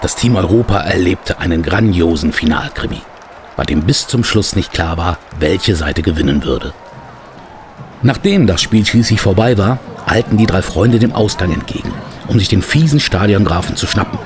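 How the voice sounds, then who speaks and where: A man's voice: low voice, lively intonation, moderate pace, slight background noise. A man acting as the storyteller of a detective adventure radio play, reading scripted narration. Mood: narrating.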